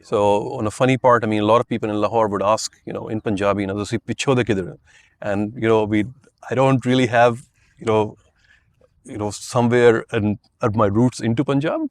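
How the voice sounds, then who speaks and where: A man's voice: lowish voice, fairly even intonation, fairly quick. A man in his fifties, originally from Pakistan, telling his life story as a podcast guest and loosely filling his talk with "you know" and "I mean". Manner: rambling, many "you know" and "I mean" fillers. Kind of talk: relaxed interview answers.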